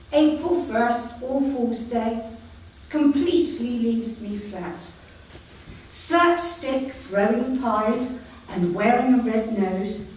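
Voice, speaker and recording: A woman reading her own poems at a live poetry evening, slow and measured, with light humour and no microphone. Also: fairly high pitch, a little mellow. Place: community hall with live audience. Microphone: no microphone, voice projected. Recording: echoey hall, voice from far off.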